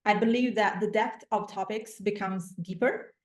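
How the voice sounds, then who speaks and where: A woman's voice: medium pitch, fairly even intonation, moderate pace. A woman with an Italian accent, speaking as a language coach in an encouraging, conversational interview style. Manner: encouraging, affirming.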